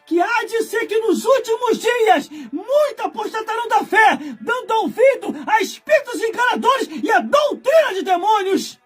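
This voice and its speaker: High-pitched shouting preacher man. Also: sing-song, normal speed, hardly any pauses, soft background music. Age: 40s. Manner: shouting, scolding, emphatic repetition. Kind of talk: fiery sermon.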